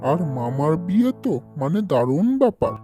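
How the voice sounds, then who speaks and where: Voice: medium pitch, big pitch swings, a little fast, dark tone, faint music behind, natural voice. An actor voicing an eager, inquisitive young village boy who loves food, in cartoon dialogue. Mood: eager, pleading.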